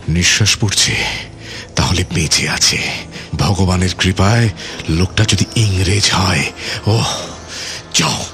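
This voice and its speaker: An actor playing a controlling middle-aged island overlord in a radio play, deliberate and courteous with menace, repeating phrases with relish. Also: male voice, sits low, a bit bright, heavily acted. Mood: eager, commanding.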